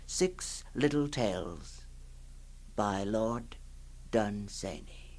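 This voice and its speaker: An actor playing a sly séance host introducing a radio horror anthology, hushed, sinister and teasing, with hesitant phrasing. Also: male voice, fairly low pitch, animated, drawn-out words, exaggerated voice. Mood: sly, inviting. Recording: 1960s radio drama with faint music.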